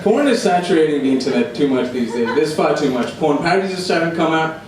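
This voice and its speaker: A man's voice: fairly high pitch, expressive, fast, a crowd in the background. Young male stand-up, very echoey room. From immigrated to Australia at age eleven. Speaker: stand-up comedian. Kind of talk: live stand-up comedy. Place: indoor comedy room before a live audience. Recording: echoey, noisy room recorded from far off.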